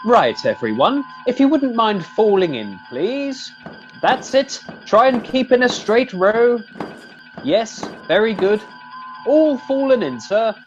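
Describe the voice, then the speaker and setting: A man with an English accent playing a courteous, vague, gently pedantic sergeant in a comedy radio play. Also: sits high, big pitch swings, takes it slowly, dull audio, soft background music, full character voice. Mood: politely vague. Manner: mild, hesitant, courteous.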